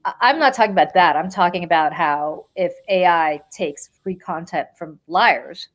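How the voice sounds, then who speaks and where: Blunt, animated woman podcast host. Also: lowish voice, normal speed. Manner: blunt, animated, repeats herself for emphasis. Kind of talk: casual political chat.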